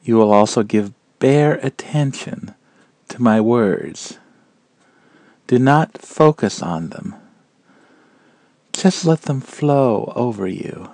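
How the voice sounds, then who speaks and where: Slow, mellow man guiding a meditation. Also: mid-range voice, no echo. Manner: calm, measured, gentle phrasing with long pauses. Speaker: meditation guide. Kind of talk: guided meditation narration.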